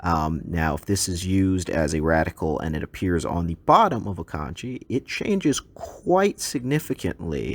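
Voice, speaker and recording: A man whose first language is English, teaching a recorded slide lesson in a relaxed way with frequent ums and uhs. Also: deep voice.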